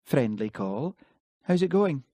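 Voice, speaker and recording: A guarded young Highland woman, quiet and evasive, her voice lifted well above a man's usual pitch, as a male narrator puts her on. The reading is dry and close, with no room sound. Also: very animated, slow pace, no room sound.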